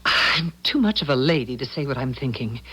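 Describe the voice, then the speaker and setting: An actress playing a plucky, devout married lady in a 1970s radio drama, husky and plain-spoken, on a dull lo-fi recording. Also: extremely low pitch, expressive, brisk, pushed and bright, a little hiss or hum, full character voice. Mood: indignant.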